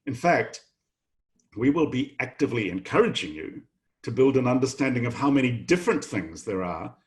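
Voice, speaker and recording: Nz-born professor guy, middle-aged, earnest, lecture-y welcome talk. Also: mid-range voice. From New Zealand. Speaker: professor and incoming programme director. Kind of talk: welcome address to new students. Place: home office, online session.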